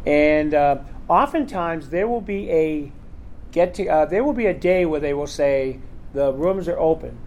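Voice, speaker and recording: A man's voice: on the high side, unhurried, darkish tone, highs cut off, slight background noise. A man hosting a convention panel, talking casually and rambling with lots of fillers. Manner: rambling, chatty, full of fillers. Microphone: recorder in the room.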